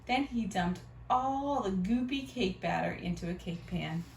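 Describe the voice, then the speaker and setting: A woman reading a storybook aloud to children in an expressive, teacherly way. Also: mid-range voice, a little slow, vocal fry. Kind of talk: animated read-aloud with questions to children. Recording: roomy, distant mic, faint noise.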